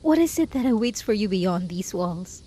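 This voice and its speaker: A woman's voice: mid-range voice, animated, normal speed, mellow, natural voice. A woman playing a lonely, obsessive hermit in an intimate roleplay monologue, pleading desperately with sighs and whispers. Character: lonely, obsessive, lovesick hermit begging a traveler to stay.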